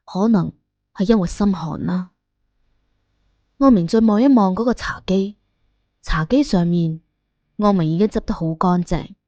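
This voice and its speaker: A woman's voice: medium pitch, mellow, no echo, lo-fi sound. A woman narrating an audiobook in a colloquial storytelling style.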